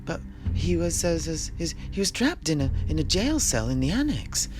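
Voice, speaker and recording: A deferential male guild apprentice, put on by a man narrator, eager and formal but easily flustered. His pitch is raised above a deep storyteller's register and swings widely, with music behind. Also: very animated, normal speed. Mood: flustered, stammering. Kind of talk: dramatic fantasy storytelling.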